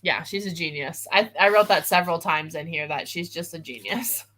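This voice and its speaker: A woman with a bright, forward voice co-hosts an online book chat. She talks informally and loosely, with a giggly streak, as if on a video call with a friend. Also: fairly low pitch, moderate pace. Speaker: co-host of book discussion live show. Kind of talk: casual livestream book chat. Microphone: video call over internet.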